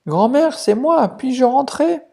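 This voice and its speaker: A storyteller voicing an innocent, trusting little fairy-tale girl with a small, soft voice. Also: sits high, lively intonation, takes it slowly, gravelly, pitched above the performer's own voice, heavily acted. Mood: sweet. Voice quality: tiny, soft voice.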